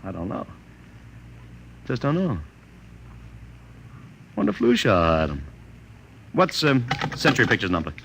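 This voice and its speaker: A man's voice: mid-range voice, huge pitch swings, fairly bright tone, exaggerated voice. An actor playing a brash, cynical, self-assured publicity man in a 1950s radio drama, glib and bossy. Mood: worried, puzzled. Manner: fast-talking, glib, bossy. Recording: old radio recording with clear background noise.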